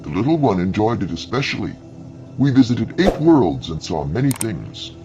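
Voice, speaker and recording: Man reading as reassuring low-voiced alien father. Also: very animated, normal speed, lo-fi sound, over music. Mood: pleased. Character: male alien horse-spider creature, contented, reassuring father. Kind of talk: dramatised storytelling.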